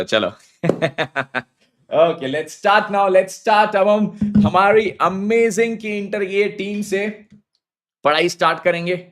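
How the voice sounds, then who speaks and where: हिंदी-अंग्रेज़ी मिलाकर बोलता गला बैठा पुरुष शिक्षक. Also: male voice, on the high side, fairly bright tone. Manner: hypes students with slang, mixes English into Hindi. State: hoarse, sore throat. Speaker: accounting teacher. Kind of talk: energetic live online class. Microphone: phone on mobile data, live stream.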